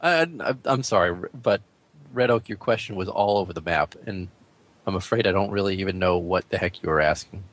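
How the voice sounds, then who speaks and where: Blunt, low-voiced male podcast co-host. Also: fairly quick, fairly bright tone, no echo. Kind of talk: casual gaming discussion.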